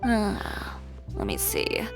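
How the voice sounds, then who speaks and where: Proud young woman designer, video game acting, medium pitch, dry, deliberate, determined, music behind. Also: huge pitch swings, exaggerated voice.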